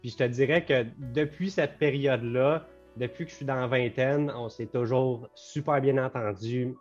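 A man's voice: mid-range voice, steady tone, moderate pace, a little mellow, soft background music. A young man with a Quebec French accent and a mid-range voice, talking loosely and at length as a podcast guest, with English words slipped in. The recording sounds a bit dull and lo-fi. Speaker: business co-owner and guest. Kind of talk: casual podcast conversation.

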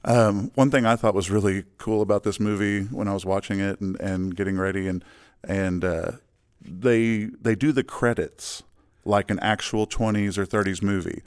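A man with a lowish voice co-hosts a casual film podcast. He talks in a relaxed way, dropping in "um" often and answering his partner briefly. The tone stays easygoing throughout. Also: moderate pace, clean tone. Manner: relaxed, fillers, brief agreeing replies. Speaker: podcast co-host and producer.

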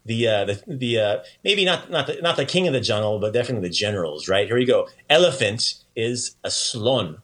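A man's voice: lowish voice, lively intonation, a little fast, few pauses, a bit bright. A man hosting a podcast language lesson in a casual, playful and encouraging way. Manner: encouraging, praising the learner often.

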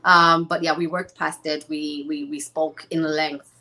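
Chatty, animated young woman from Zimbabwe. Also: deep voice, unhurried. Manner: animated, chatty, many restarts and laughs. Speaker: interview guest, former reality show contestant. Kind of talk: casual chat.

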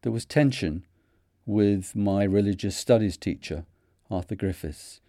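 Mancunian man, mellow measured narration. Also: lowish voice, no room sound, studio quality. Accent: Manchester. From North of England. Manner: measured, earnest storytelling. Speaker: podcast host. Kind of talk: scripted reflective narration.

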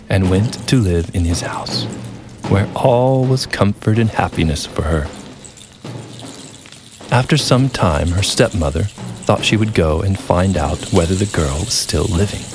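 Slow, low-voiced male storyteller over music. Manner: deliberate, dramatic storytelling with pauses. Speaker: storyteller, podcast host. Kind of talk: scripted folktale narration. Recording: music behind the voice.

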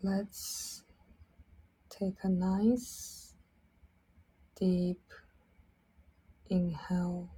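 A woman leading a guided sleep meditation in a soft, breathy, partly whispered voice, gently soothing, speaking in short phrases with long pauses. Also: on the low side, flat delivery, poor audio. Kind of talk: guided sleep meditation, slow instructional narration.

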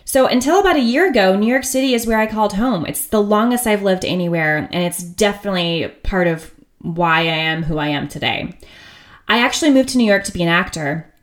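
A woman's voice: medium pitch, expressive, a little fast. Chatty upbeat woman podcaster. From United States. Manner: chatty, upbeat and candid, with frequent laughs. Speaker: podcast host. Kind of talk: casual solo podcast monologue.

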